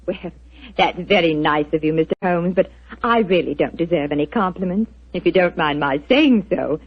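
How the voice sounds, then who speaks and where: An actress playing a shrewd, composed young wife in 1940s radio acting, poised and lightly teasing, over a dull old radio broadcast. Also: female voice, lowish voice, moderate pace, husky.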